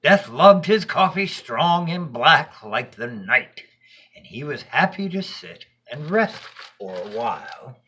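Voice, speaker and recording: A man narrating a bedtime story in an expressive, dramatic and deliberate way. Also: fairly high pitch, slightly rough.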